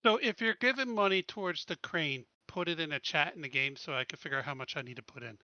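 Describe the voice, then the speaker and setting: A man in a tabletop game session who keeps organizing the group and stumbles over his restarts. His voice is bright and a little high, and the sound is dull and lo-fi, with no room echo. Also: quick pace. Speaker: player. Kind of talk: casual tabletop game chat.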